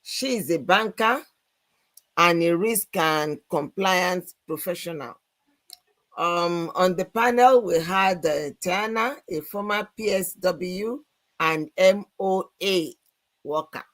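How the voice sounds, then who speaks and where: A woman's voice: on the low side, slow, fairly bright tone. A woman, co-hosting a live business chat and introducing panelists in an enthusiastic, promotional way. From Nigeria. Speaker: co-host.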